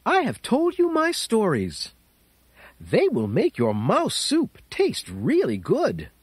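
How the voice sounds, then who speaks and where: A storyteller voicing a small fussy mouse who wants quiet, with music playing behind. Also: mid-range voice, wildly swooping pitch, slow pace, slightly rough, dry recording, voice pushed up, full character voice. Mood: sly. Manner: pleading, exclaiming.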